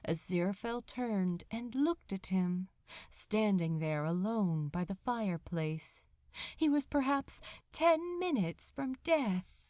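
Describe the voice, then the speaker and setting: A woman reading fiction aloud as a narrator, with expressive, dramatic delivery. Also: mid-range voice, dry recording, clean studio sound. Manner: slow, expressive, dramatic storytelling. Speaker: audiobook narrator.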